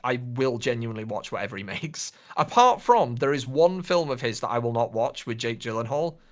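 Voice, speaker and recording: Male podcast co-host, mid pitch, casual, opinionated, jokey. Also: sing-song, brisk. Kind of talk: casual film and gaming chat.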